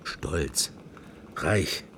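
A man's voice: very slow, sharp tone, slight background noise. A man playing a pensive middle-aged husband in a radio drama, wry and furtive, musing in clipped fragments. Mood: furtive, curious. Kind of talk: radio drama inner monologue and dialogue.

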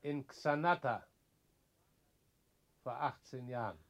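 Former revolutionary comrade, calm, terse, restrained, medium pitch, radio play. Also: male voice, takes it slowly. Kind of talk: German radio play acting.

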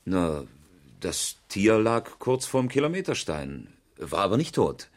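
Low-voiced, breezy rally driver. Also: male voice, big pitch swings, unhurried. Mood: matter-of-fact recounting. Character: breezy, indignant male amateur rally driver and engineer. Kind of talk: 1970s German radio crime drama acting.

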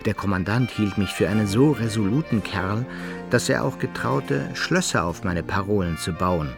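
A man's voice: fairly low pitch, vocal fry. An actor playing a proud adventurer who recounts his own exploits in formal, archaic language for a radio play. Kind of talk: first-person radio play narration. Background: violin music.

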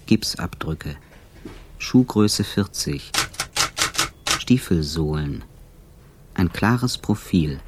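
A man's voice: low voice, heavy background noise. A man playing a detached forensic reciter in a radio drama, speaking in terse, staccato fragments over typewriter-like clicking. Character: clipped, detached male reciter of forensic evidence.

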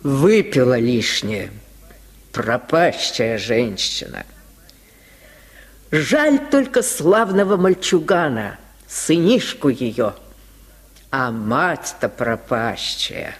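A male reader puts on a self-satisfied town burgomaster in a radio tale. He speaks very slowly, with cold disdain, drawing out each dismissive judgement as if from above. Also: mid-range voice, very animated, long reverb tail, full character voice. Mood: disdainful. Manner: slow, condescending, dismissive. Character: smug, disdainful male burgomaster, coldly judging. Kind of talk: radio storybook reading.

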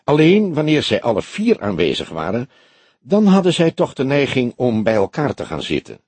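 A man voicing a composed, frank older woman in an audiobook, speaking softly in a measured, genteel, thoughtful way. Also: medium pitch, wildly swooping pitch, breathy edge, no room sound. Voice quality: soft, friendly voice. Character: older woman club member, composed, soft-spoken, frank. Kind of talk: audiobook character dialogue.

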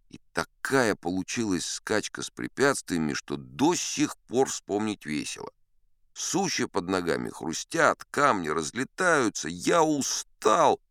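Deep, swooping, boastful old-salt sea captain. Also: male voice, fairly bright tone, smooth, no room sound, studio quality, heavily acted. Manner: conversational, wry, unhurried raconteur with dramatic pauses. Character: boastful seasoned sea captain telling tall tales. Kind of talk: theatrical first-person audiobook storytelling.

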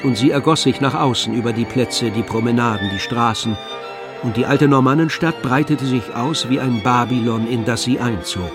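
A man narrating a literary radio drama in measured, deliberate phrases. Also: medium pitch, music in the background.

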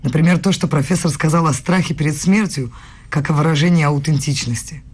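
A woman acting in a radio play as an eighteen-year-old philosophy student, eager, nervous and deferential, with a husky voice. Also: deep voice, brisk, a little mellow. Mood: earnest, admiring. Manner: earnest, flustered, deferential.

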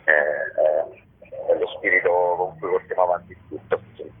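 A man's voice: deep voice, level tone, a little slow, breathy edge. A man speaking informally by telephone as an event organiser interviewed on the radio. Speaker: phone guest, event organiser. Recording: tinny, narrow telephone line.

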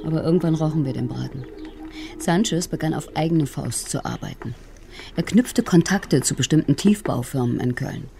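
An actress playing a cool, knowing, sardonic investigator in a naturalistic German radio crime drama. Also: female voice, deep voice, moderate pace, creaky, warm tone, soft background music. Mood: explaining.

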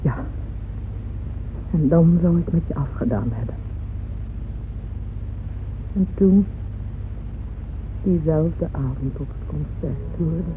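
A woman's voice: low-pitched, takes it slowly, pauses often. An actress playing a proud, yearning, wealthy middle-aged mother who narrates intimately and fervently in a radio drama. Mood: bitter, self-reproaching. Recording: muffled phone-like old radio recording, faint noise.

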